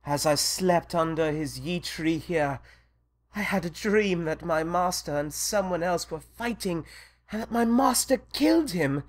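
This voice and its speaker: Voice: medium pitch, lively intonation, measured pace, lightly acted. An actor playing a timid, loyal young manservant in an audio drama, hesitant and deferential. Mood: fearful. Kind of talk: audio drama acting of modernized Shakespeare.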